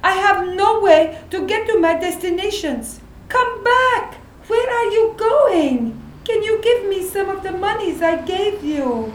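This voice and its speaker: A woman's voice: very high voice, expressive, unhurried, few pauses. A woman playing a naive but vengeful, immensely strong female character in a drama, speaking in stilted, literal phrases. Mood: anxious. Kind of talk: acted dramatic sketch. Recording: echoey room with faint background noise.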